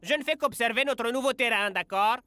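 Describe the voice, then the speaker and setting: A man's voice: extremely high pitch, fairly quick, sharp tone, clear, resonant tone, no room sound. An actor dubbing a scheming grown man in a French cartoon, sly and theatrical in his gloating.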